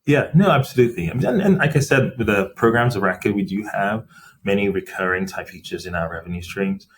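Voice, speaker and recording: A middle-aged man with a somewhat low voice talks through his answers in a measured, explanatory way. He leans on frequent fillers as he thinks aloud. A faint hiss of noise sits under the recording. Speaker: company CFO as podcast guest. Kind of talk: interview conversation.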